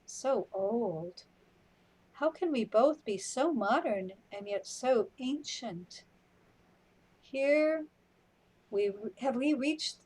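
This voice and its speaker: A woman plays a wry, weary female companion in a sci-fi radio drama. Her voice sits fairly high, and she takes her lines slowly and deliberately. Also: darkish tone, slightly rough, full character voice, poor audio. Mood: weary, wondering. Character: unhurried, matter-of-fact companion, wry and weary. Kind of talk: radio play acting.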